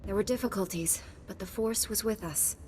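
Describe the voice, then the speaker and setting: A woman's voice: mid-range voice, moderate pace, a little mellow, big-room sound, a little hiss or hum, lightly acted. A woman voicing a dutiful, earnest young knight hero in a video game, speaking formally and with composure. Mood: composed, helpful. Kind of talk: video game dialogue acting.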